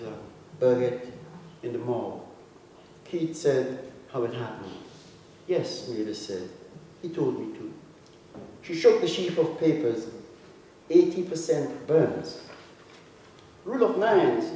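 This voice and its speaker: A man giving a measured, deliberate reading of literary prose, with dramatic phrasing and pauses, as an author reading a short story. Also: mid-range voice, warm tone. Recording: echoey hall, distant mic, faint noise.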